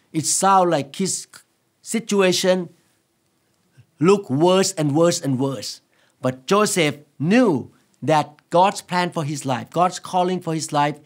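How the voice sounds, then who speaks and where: A man in his sixties from Thailand with a Thai accent, preaching to camera as a pastor in an earnest, emphatic, deliberate way. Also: on the high side. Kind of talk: sermon to camera. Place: quiet indoor studio set. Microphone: lapel microphone.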